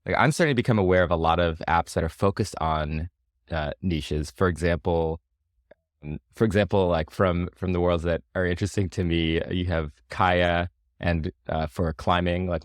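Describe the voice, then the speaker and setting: A man chatting casually about tech as a podcast co-host, thoughtful and halting in his phrasing. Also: low voice, fairly even intonation, no room sound, studio quality.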